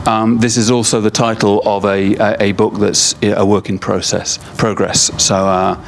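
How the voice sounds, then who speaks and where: A man with a low, even voice introduces a speaker at a university lecture, hesitating often and filling pauses with ums. He speaks into a microphone in a lecture room, and faint background noise sits under the speech. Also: gravelly. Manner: halting, many fillers and restarts. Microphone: microphone at a lectern.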